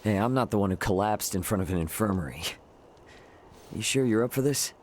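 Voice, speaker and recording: A man's voice: lowish voice, lively intonation, brisk, a little mellow, slight background noise, close to an everyday voice. An actor playing a brash, easygoing young male spacefaring adventurer in a video game, with a casual, teasing manner. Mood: wry teasing.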